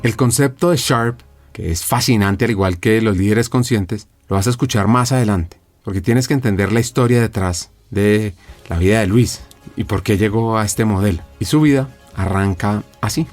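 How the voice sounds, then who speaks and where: A man's voice: fairly low pitch, moderate pace, darkish tone. A male podcast host reading a scripted segment introduction in an inviting, teasing tone. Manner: inviting, teasing what comes next. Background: faint music.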